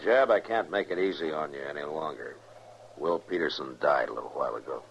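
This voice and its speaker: An actor playing a stern, steady American marshal in a western radio drama, speaking in terse, commanding phrases. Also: male voice, extremely low pitch, fairly quick, creaky. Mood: grave, firm. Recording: muffled, noisy old radio recording.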